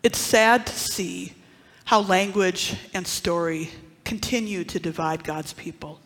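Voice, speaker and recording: A preacher from northern Wisconsin delivers a sermon at an unhurried pace, lingering on key phrases. The voice alternates between full projection and near-whispered asides. A long reverb trails after every line, as in a large church sanctuary. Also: medium pitch. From northern Wisconsin, USA. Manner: deliberate, with dramatic pauses and hushed asides.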